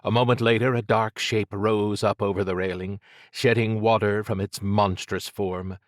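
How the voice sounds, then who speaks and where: A man narrating scripted fiction as an audiobook, with measured, dramatic storytelling. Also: lowish voice, fairly even intonation, no echo, studio quality.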